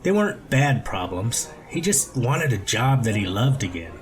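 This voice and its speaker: A man reads a story aloud as an audiobook narrator. His pitch sits in the middle range for a man. The delivery is scripted prose narration. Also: very animated, moderate pace, faint music behind. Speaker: audiobook reader.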